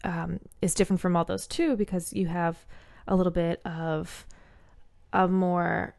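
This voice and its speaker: A woman guest in a casual chat, explaining psychology with many pauses as she searches for words. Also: lowish voice, fairly even intonation, vocal fry, a little mellow.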